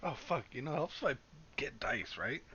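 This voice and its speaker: Sharp, excitable man on lo-fi voice chat. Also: medium pitch, fairly quick, a little hiss or hum. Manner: excitable, slangy, swears freely. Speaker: tabletop game player. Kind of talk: casual chat during an online tabletop game.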